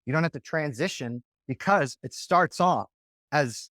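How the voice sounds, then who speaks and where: A man's voice: a little high, measured pace, a bit bright, no echo, clean studio sound. A man coaching on dating in a podcast interview, talking casually and often checking in with "right?".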